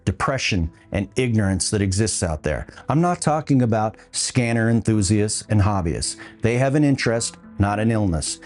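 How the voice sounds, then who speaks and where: A man's voice: mid-range voice, a little mellow. A man hosting a podcast, delivering scripted opinion commentary with a wry, dry humour. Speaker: podcast host.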